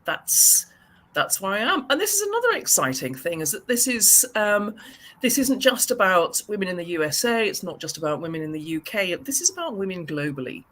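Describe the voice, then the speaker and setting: A woman's voice: mid-range voice, sing-song, brisk, fairly bright tone. A woman with an English accent talking on a video call panel, earnest and often restarting her sentences. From England. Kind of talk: casual panel chat.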